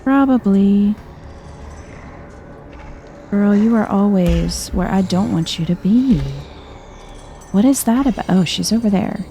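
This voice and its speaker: A soft-spoken woman giving chill, understated game commentary in an ASMR style, musing aloud as she plays. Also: medium pitch, slow pace, pauses often, faint music behind. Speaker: video game streamer.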